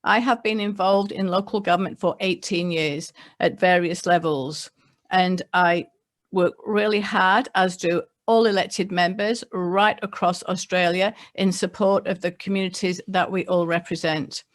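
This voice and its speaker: Measured English-born woman reading from notes. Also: lowish voice, fairly even intonation. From England. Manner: measured, reads figures from notes with small slips. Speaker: local government leader. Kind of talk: prepared webinar address read from notes. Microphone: computer mic on a video call.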